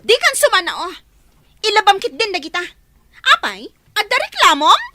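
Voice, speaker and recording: A woman's voice: very high voice, big pitch swings, moderate pace, pushed and bright, slight background noise, heavily acted. A woman with an Ilocano accent playing a spoiled, bossy young woman who speaks in a commanding, scornful way. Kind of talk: Ilocano audio drama. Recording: music playing underneath.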